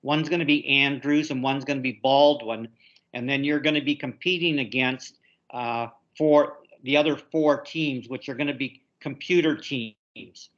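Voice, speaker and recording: A man's voice: medium pitch, steady tone, moderate pace, a bit bright. Slightly muffled male instructor on a call. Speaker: university instructor. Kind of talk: informal step-by-step practical advice to students. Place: conference call. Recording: slightly dull conference-call audio.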